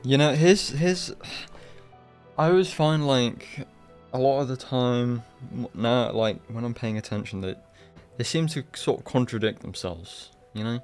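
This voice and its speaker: A man streaming a game with casual live commentary, rambling, opinionated and quick to swear. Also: mid-range voice, a little mellow, clean tone, faint music behind.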